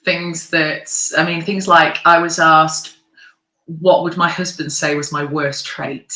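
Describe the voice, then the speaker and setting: English woman, deep bright voice, chatty singer guest, roomy echo. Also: flat delivery, slow. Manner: chatty, laughs often, many restarts. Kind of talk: conversational interview.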